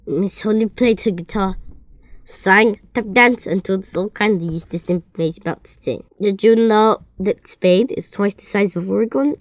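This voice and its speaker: A woman reads a children's storybook aloud with expressive delivery, often stumbling and repeating words as she goes. Her voice sits at an everyday middle pitch. The recording is dry and somewhat dull, like a lo-fi home setup. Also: normal speed, no room sound.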